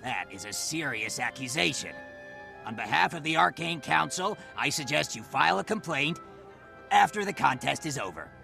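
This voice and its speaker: An actor playing an officious, patronising council official of a small goblin-like race in a video game, with a commanding manner. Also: male voice, a little high, big pitch swings, moderate pace, creaky, sharp tone, faint music behind, full character voice. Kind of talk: video game cutscene acting.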